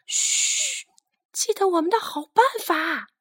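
A woman voicing a tiny, earnest, patient ant in a children's storybook, with a careful, hushing manner. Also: extremely high pitch, expressive, takes it slowly, dry recording, clean studio sound, pitched above the performer's own voice, exaggerated voice.

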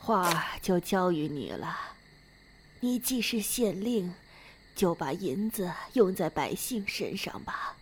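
A woman playing an enigmatic, principled old commoner in a Chinese period audio drama, husky and breathy. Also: fairly low pitch, animated, slow pace, slight background noise. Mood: calm, grave. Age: older.

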